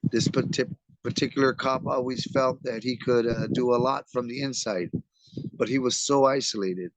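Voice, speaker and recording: A hoarse man with a raspy voice, reading a memoir aloud to a book club. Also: medium pitch, steady tone, normal speed, no echo, rough recording.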